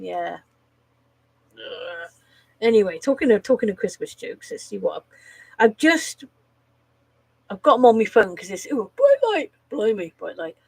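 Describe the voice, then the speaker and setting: Woman, slightly nasal from a cold, unhurried, dry sound, casual streamer, giggly, swears offhand. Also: mid-range voice, vocal fry, husky, no room sound, low-quality recording. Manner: giggles at her own jokes, swears casually, groans at bad puns. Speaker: live streamer. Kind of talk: casual live-stream chat telling corny jokes.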